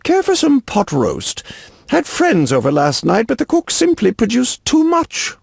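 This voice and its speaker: An actor playing a fussy, pompous, wealthy antiquities collector with a florid, genteel, pedantic manner, in a video game. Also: male voice, very high-pitched, wildly swooping pitch, unhurried, gravelly, husky, dry recording, studio quality, exaggerated voice. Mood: pompous, delighted.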